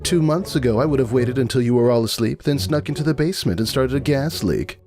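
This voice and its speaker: A man's voice: mid-range voice, animated, fast, a little mellow, soft background music, pitched above the performer's own voice, close to an everyday voice. Man putting on a sultry, menacing siren villainess. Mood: smug. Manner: purring, teasing, theatrical drawl.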